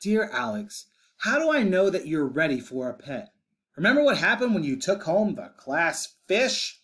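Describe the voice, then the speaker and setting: A man voicing a patient, skeptical mother in a children's storybook, reasoning in a dry, measured way. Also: on the high side, very animated, fairly bright tone. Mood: doubtful. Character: skeptical, wry, patient mother of a young boy. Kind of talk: storybook read-aloud for children.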